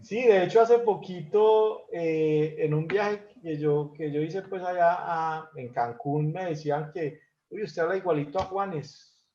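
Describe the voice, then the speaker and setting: A man's voice: a little high, measured pace, mellow. A Colombian man with a Paisa accent, chatting easily as the guest speaker on a webinar video call. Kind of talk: casual webinar chat. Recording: echoey dull video call audio.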